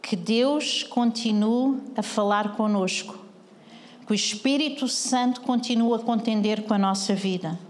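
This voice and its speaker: A woman's voice: fairly high pitch, unhurried, in a large hall. A woman pastor with a European Portuguese accent preaching a sermon, earnestly questioning the congregation.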